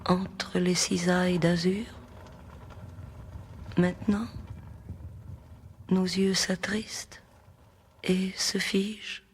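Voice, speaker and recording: A woman reciting poetry in an experimental sound-art piece, deliberate and often slipping into a whisper. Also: lowish voice, little pitch movement, slight background noise. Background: music.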